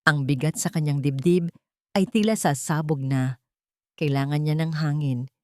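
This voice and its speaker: A woman's voice: low voice, normal speed, mellow, dry recording, studio quality. A Filipino woman delivering scripted dramatic story narration.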